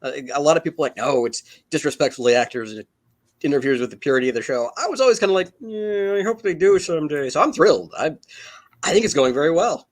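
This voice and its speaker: A man's voice: on the high side, lively intonation, fast. A man on a panel chatting informally and giving his opinions as a fan. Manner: informal, conversational. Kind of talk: casual, opinionated fan chat.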